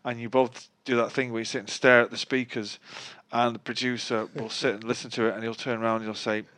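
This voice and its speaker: This is a middle-aged man with a Manchester accent, chatting as a guest in a dry, wry manner. He often stops and restarts mid-sentence, and his voice sits at an ordinary, middling pitch. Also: little pitch movement, fast talker, fairly bright tone. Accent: Mancunian. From Manchester, England. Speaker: guest musician. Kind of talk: casual interview chat.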